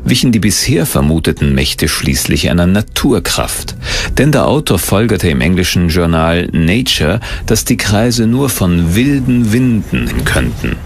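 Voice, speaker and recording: A man's voice: sits low, very animated, a little slow. A man reading scripted documentary narration as the narrator.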